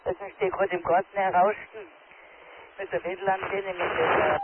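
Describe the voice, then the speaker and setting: A man with a Tyrolean accent, his voice a touch higher than most, rambles in a homely way over an amateur radio contact. He sounds thin and tinny, as if over a narrow radio channel. A crowd murmurs behind him. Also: flat delivery, brisk. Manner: rambling, homely, ends phrases with a tag question. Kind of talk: casual radio contact chat. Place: outdoors in a garden. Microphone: handheld radio and phone held close.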